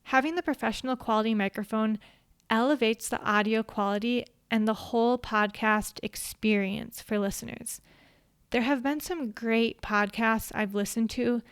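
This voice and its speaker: A soft-spoken young woman talks through a prepared script at an even, measured pace. Her voice sits a little high and comes across smooth and clean. It is recorded dry and close on a studio microphone. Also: no room sound. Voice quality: quiet voice. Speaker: podcast host. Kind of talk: scripted solo talk.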